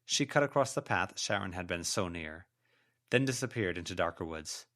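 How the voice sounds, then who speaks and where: A man's voice: low voice, animated, moderate pace, pushed and bright. A man reading scripted fiction as an audiobook narrator.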